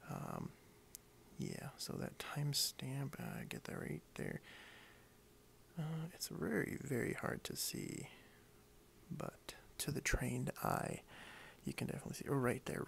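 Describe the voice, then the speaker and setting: A man speaking softly in a breathy half-whisper, hushed and friendly, role-playing a toy shop owner for ASMR. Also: medium pitch. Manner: hushed, unhurried, friendly, hesitant phrasing. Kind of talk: soft-spoken ASMR role play. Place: indoors at a wooden desk.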